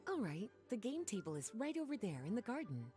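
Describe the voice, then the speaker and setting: An actress voicing a relaxed, gently teasing adult librarian in a video game, speaking in an unhurried, languid way. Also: female voice, on the high side, faint music behind.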